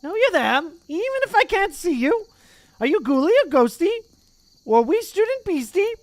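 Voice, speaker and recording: A reader voicing a mischievous male poltergeist with a squeaky, sing-song voice. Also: big pitch swings, moderate pace, dry recording, full character voice. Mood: taunting.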